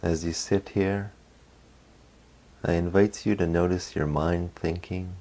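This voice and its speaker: A man leading a guided meditation as a guide, speaking gently in short phrases with long pauses. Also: low-pitched, fairly even intonation.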